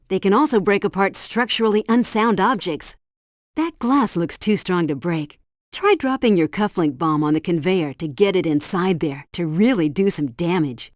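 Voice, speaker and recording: A woman's voice: medium pitch, a little mellow, no room sound, clean studio sound, lightly acted. A woman playing a composed, helpful spy agency helpdesk operator in a video game, giving scripted instructions in a precise briefing style. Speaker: mission helpdesk guide. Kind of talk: scripted game tutorial narration.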